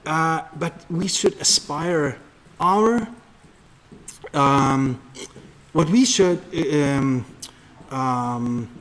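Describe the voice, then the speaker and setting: A man with a Norwegian accent telling stories conversationally as an interview guest, often halting and restarting his words. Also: on the high side, fairly bright tone. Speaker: public speaker and seminar leader, interview guest.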